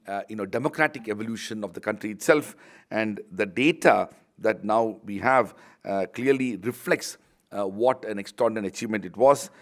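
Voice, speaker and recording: This is a middle-aged man speaking English with an Indian accent, his first language being Tamil. His voice sits slightly low as he delivers a formal launch speech in an academic register. He talks in a measured way, breaking his sentences with frequent hesitant pauses. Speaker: university vice chancellor speaking at a book launch.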